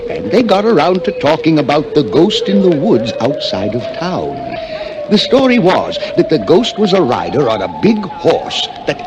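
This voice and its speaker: A man narrating a spooky tale in a folksy storytelling style, with dramatic pauses and emphasis. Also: medium pitch. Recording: noisy old flexi-disc recording.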